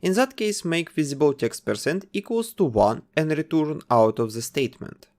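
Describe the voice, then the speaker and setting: Man with a lively voice narrating a coding tutorial. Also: a little high, normal speed. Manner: explains code step by step while testing it. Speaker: game development tutor. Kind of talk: screen-recorded coding tutorial.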